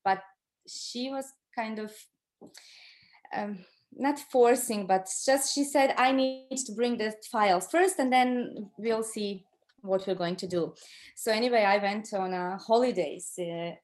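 Croatian-accented woman, halting, conversational storyteller. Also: medium pitch. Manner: halting, searching for words in second-language English. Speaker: interview guest recounting her own experience.

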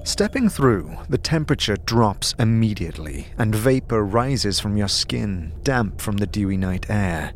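A man narrating a scripted fantasy audio drama in a measured, dramatic storytelling manner. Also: on the low side, animated, slight background noise.

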